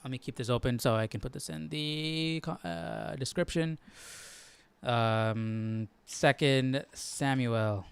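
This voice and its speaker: A man's voice: medium pitch, takes it slowly, gravelly, clear, resonant tone, dry recording. A man hosting a live Bible study, chatting informally and thinking aloud. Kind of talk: casual livestream chat.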